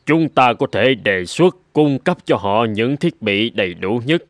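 A man voicing a grave older gentleman physician and mentor in a dubbed cartoon, speaking in a measured, formal, earnest way. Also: mid-range voice, lively intonation, dry recording. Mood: urging, concerned.

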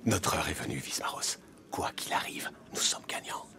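An actor playing a scheming Gallic nobleman in an animated historical drama, speaking in a hushed, conspiratorial way. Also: very deep voice, moderate pace, gravelly, noisy background, full character voice. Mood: calculating.

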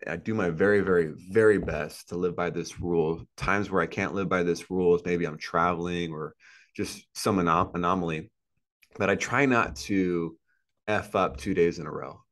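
A man in his thirties speaks from a room on a video call, his voice sitting low and deep. He talks in an earnest, motivational way, circling back and repeating his points. It is relaxed interview talk. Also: normal speed. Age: 30s. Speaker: podcast guest. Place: indoor room with a plant and a couch.

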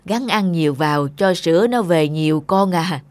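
A woman's voice: fairly low pitch, normal speed, a little mellow, dry recording, clean studio sound, natural voice. Doting old granny played by a woman. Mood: homely, gentle. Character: doting, frugal, old-fashioned elderly village grandmother. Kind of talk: cartoon dialogue.

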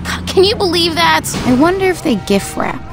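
A woman's voice: very high voice, lively intonation, moderate pace, over music. An actress playing a gangster's bossy, self-absorbed girlfriend in a video game. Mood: bossy, casual. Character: haughty, scheming gangster's girlfriend. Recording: loud city traffic and engine noise.

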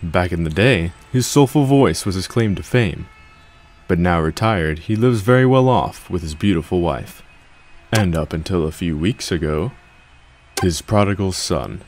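A man's voice: sits low, lively intonation, measured pace, darkish tone. A man narrating a scripted story as its storyteller, with some noise behind him.

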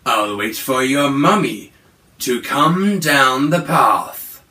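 A greedy storybook Yowie monster, as a man puts him on, booming and drawn out. The voice swoops up and down, and it sits in an echoey room. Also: lively intonation. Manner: loud, slow and menacing.

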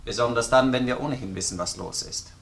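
An actor playing a dry, courteous yet probing Austrian police detective in a crime drama, with measured ironic politeness. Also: male voice, medium pitch, vocal fry, fairly bright tone, slight background noise. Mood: probing, grave. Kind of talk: crime radio play acting.

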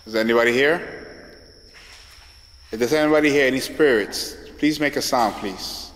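A man's voice: medium pitch, normal speed, fairly bright tone. A man chatting casually as he explores for a ghost hunting vlog, at times calling out to spirits. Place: inside a large abandoned hospital building. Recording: noisy on-location handheld camera audio.

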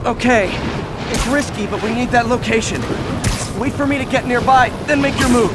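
An actor voicing a young, upbeat, quick-witted male superhero in a video game, bantering sarcastically. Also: very high-pitched, heavy background noise. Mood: earnest.

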